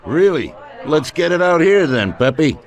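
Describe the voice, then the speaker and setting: An actor playing an older American mafia boss, genial but ruthless, talking in colloquial gangster style in a game cutscene. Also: male voice, a little high, big pitch swings, unhurried. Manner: conversational, colloquial gangster talk. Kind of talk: video game cutscene acting.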